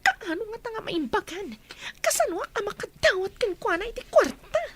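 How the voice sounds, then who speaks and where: An actress playing a sharp-tongued, complaining woman in an Ilocano radio drama. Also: very high voice, big pitch swings, moderate pace, background noise. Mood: concerned.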